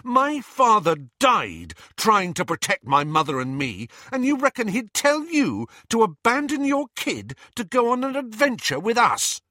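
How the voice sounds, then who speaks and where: A man reading a storybook, voicing an angry teenage boy with a sharp, cutting, scornful manner. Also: mid-range voice, sing-song, a little slow, no room sound, studio quality, pitched above the performer's own voice, exaggerated voice. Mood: furious.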